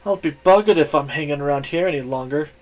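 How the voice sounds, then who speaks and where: A man voicing a brash, quick-tempered young woman who talks bluntly with plenty of slang. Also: fairly high pitch, close to an everyday voice.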